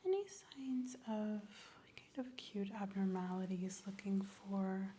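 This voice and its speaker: A woman doing an ASMR doctor roleplay, soft-spoken, gentle and instructive, often slipping into whispers. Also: medium pitch, measured pace, a little hiss or hum. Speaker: ASMR performer role-playing a doctor.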